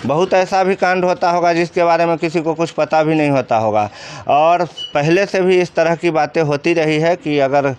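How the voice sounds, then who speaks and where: A man's voice: on the high side, moderate pace, a little hiss or hum. A man with a Bihari accent giving informal commentary in an interview, explaining colloquially and often answering his own questions. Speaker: commentator interviewed about crime cases.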